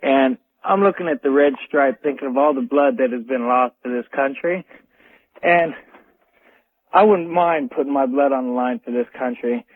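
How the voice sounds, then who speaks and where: An American man phoning a radio show, talking casually and haltingly and repeating his words. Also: medium pitch. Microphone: telephone. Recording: tinny phone line on radio.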